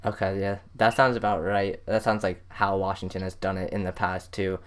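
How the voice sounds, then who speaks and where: An American man hosts a casual sports interview. His voice is clean and smooth, sitting slightly on the low side, as he puts questions to his guest. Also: level tone, moderate pace. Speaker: podcast host, interviewer.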